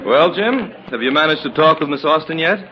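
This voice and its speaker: An actor playing a sceptical, courteous estate lawyer in the manner of 1940s radio. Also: male voice, fairly high pitch, animated, brisk, a crowd in the background, lightly acted. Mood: affable. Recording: dull, hissy old radio recording.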